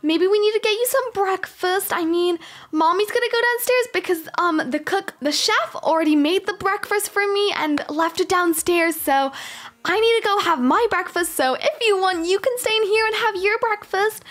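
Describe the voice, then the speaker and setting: A woman's voice: extremely high pitch, normal speed, fairly bright tone, pitched above the performer's own voice. A woman playing a spoiled rich schoolgirl and young mother in a game roleplay, sighing and complaining to herself. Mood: coaxing, exasperated.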